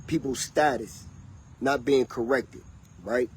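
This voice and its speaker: A man's voice: medium pitch, steady tone, slow pace, husky, rough recording. A man, talking in a loose, rambling and preachy way to his livestream viewers. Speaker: vlogger. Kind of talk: casual livestream monologue.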